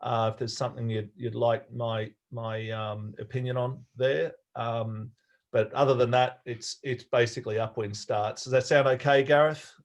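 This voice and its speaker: An Australian man talking over a video call in an easygoing, rambling way, circling back and restarting his sentences as he goes. His voice sits at an ordinary middle pitch for a man. He sounds like a guest speaker chatting informally with a club. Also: fairly even intonation, normal speed.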